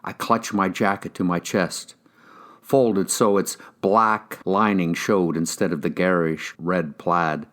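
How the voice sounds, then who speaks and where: An American man with a low voice reads a crime novel aloud. He narrates in the first person, as an actor would for an audiobook. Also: fairly even intonation, slow pace, a bit bright.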